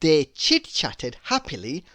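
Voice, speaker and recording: A woman reading a children's storybook aloud in an expressive narrating style. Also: deep voice, slow pace, dry recording.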